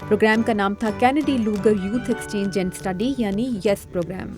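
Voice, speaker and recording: A woman narrating a news report in a formal, measured way. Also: medium pitch. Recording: voice-over with music bed.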